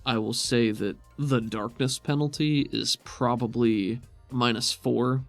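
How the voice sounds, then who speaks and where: A man's voice: medium pitch, fairly even intonation, slow, creaky, soft background music. A man working as game master, casually improvising descriptions for a tabletop game in a conversational way.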